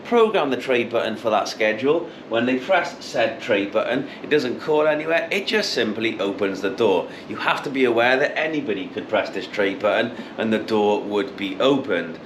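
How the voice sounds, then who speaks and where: A man's voice: lowish voice, expressive, moderate pace, hardly any pauses. A man in his thirties giving a casual, explanatory how-to product demonstration, repeating key points as he goes. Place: showroom with intercom display wall, indoors. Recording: clearly noisy room background.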